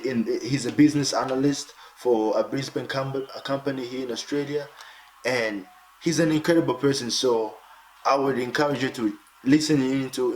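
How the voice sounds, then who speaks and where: A man's voice: medium pitch, a little hiss or hum, rough recording. A male host who opens the show and introduces his guest with eager, repetitive enthusiasm. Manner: enthusiastic and repetitive, keeps saying "incredible".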